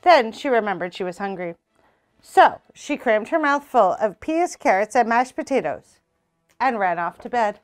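A young woman reading a children's picture book aloud in a small indoor room, slow and expressive. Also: a little high. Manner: slow, expressive read-aloud with character voices. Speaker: storytime reader.

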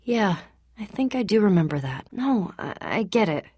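An actress playing a brave, plucky young woman warrior in a video game, dazed and forgetful, halting as she searches for memories. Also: mid-range voice, big pitch swings, a little mellow, slightly rough, no room sound, studio quality. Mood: earnest, tired. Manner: halting, searching for memories, then bursting out eagerly.

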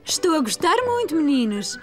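A cheerful preschool teacher in a children's cartoon, high and animated. She speaks encouraging European Portuguese to little children, with faint music behind. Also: normal speed. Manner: praising, addressing small children.

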